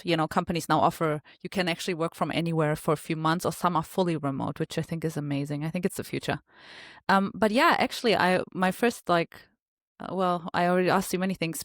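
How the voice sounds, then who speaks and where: A woman's voice: lowish voice, no room sound, clean studio sound. A woman from Germany hosting a podcast, chatting casually and filling her talk with "like" and "you know". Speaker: podcast host. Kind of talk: casual interview conversation.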